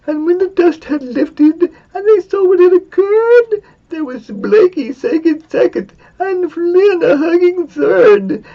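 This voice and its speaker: A man giving a semi-dramatic reading of a narrative poem, theatrical and drawn-out, with big swings for suspense and excitement. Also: very high-pitched. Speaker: poetry reader. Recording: dull, lo-fi sound.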